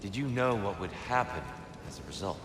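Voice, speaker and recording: A man voicing a calm, questioning figure in an echoing hall. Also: on the low side, a little fast, noisy background, lightly acted. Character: calm, probing man, keeper of a realm. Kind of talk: video game dialogue. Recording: echoey, reverberant game audio with music.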